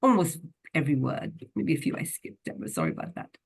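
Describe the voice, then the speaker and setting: A woman hosts an author chat over a video call. Her voice is among the lowest for a woman, and she sounds eager yet halting, often pausing on fillers. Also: wildly swooping pitch, vocal fry, breathy edge. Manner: enthusiastic, hesitant, lots of uh. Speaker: host. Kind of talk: interview hosting.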